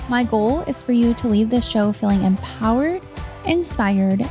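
Thirtysomething woman hosting a podcast, candid and conversational, voice a touch high. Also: a little mellow. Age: 30s. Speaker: podcast host and leadership coach. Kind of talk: solo podcast monologue.

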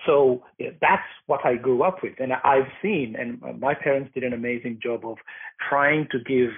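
A man's voice: mid-range voice, moderate pace, husky. Sri man on a tinny line. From Sri Lanka. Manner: thoughtful, many fillers and restarts. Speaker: guest, documentary maker. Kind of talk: interview answers, personal account.

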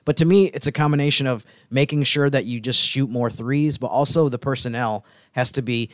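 A man's voice: mid-range voice, brisk, dry recording, studio quality. A man on a podcast panel giving conversational sports analysis in an explanatory, reasoned manner.

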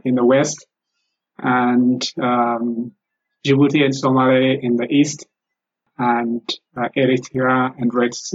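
A man with an Ethiopian accent and a slightly husky voice, a native Amharic speaker. He talks carefully and haltingly, stopping often to find his words, as an interview guest. The recording sounds dull and lo-fi. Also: mid-range voice. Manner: halting, careful, many pauses. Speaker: guest, former English teacher. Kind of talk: interview answers.

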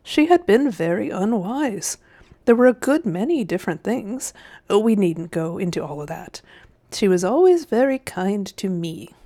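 A woman's voice: mid-range voice, lively intonation, normal speed, dark tone. Storybook villainous old uncle, played by a woman: cunning, smug, coaxing, genteel and condescending. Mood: evasive. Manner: wheedling, condescending, old-fashioned genteel phrasing. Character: cunning, smug old gentleman uncle, falsely sweet and sly. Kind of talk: storybook character voice.

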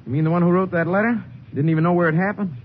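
An actor playing a resentful, hot-headed young deputy in a 1950s radio drama. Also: male voice, sits high, very fast, close to an everyday voice. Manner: curt, resentful. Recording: dull lo-fi old radio broadcast with hiss.

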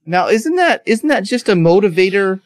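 Joking podcast host, dry close sound. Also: male voice, high-pitched, very animated, moderate pace, no echo. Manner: jokey, playful, reads quotes aloud mockingly. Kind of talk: casual interview chat.

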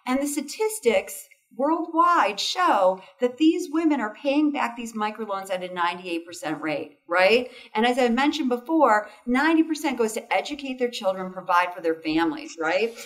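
A woman's voice: a little high, expressive, normal speed, hardly any pauses, recorded from a distance. A woman being interviewed as a foundation founder, talking enthusiastically and often tagging sentences with "right?" and "you know". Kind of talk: interview conversation.

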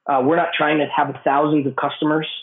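A man with a cold, his voice raspy and hoarse, answering interview questions at length with many restarts. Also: fairly high pitch, little pitch movement, fairly quick. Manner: explanatory, with frequent restarts and fillers. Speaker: guest, business owner. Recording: tinny phone-like line in an echoey room.

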